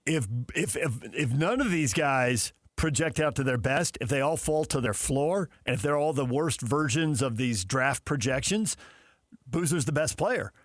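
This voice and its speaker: A man's voice: fairly high pitch, lively intonation, normal speed, no room sound, clean studio sound. An American man hosting sports talk radio, debating off the cuff and building his points through repetition.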